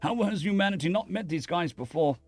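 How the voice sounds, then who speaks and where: A man voicing a sweet, excitable female alien with predatory instincts in a story, gushing and a little sheepish. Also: sits high, fairly quick, no room sound, faint music behind, pitched above the performer's own voice.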